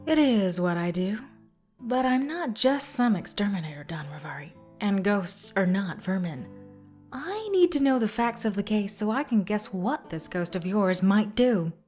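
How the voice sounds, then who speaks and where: A woman's voice: mid-range voice, very animated, moderate pace, a little mellow, faint music behind, lightly acted. A woman playing a cool-headed ghost hunter who talks in a blunt, dry, confident way. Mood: firm. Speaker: voice actress. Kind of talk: fan audio drama acting.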